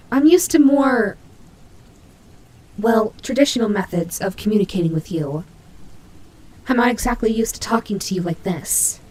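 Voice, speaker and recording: A woman's voice: on the high side, darkish tone, slight background noise, close to an everyday voice, rough recording. A woman playing a prickly but caring female nightmare demon rabbit in an audio roleplay, speaking to the listener with hesitant, teasing, flustered delivery. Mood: defensive, awkward.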